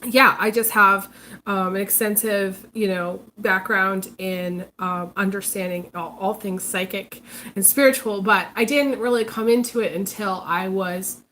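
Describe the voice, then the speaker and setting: A woman's voice: mid-range voice, fairly even intonation, a little slow, pushed and bright, a little hiss or hum. A congested woman hosting a casual live stream as a psychic reader, talking hesitantly with many ums and restarts. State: congested, recovering from illness. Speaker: psychic reader, channel host.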